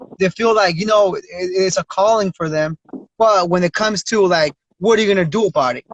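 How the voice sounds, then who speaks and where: A man's voice: high-pitched, a little fast. A man hosting a casual interview podcast, talking in an encouraging, slangy conversational way. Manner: slangy, encouraging, often says "bro" and "you know what I mean". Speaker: podcast host. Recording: dry, dull lo-fi sound.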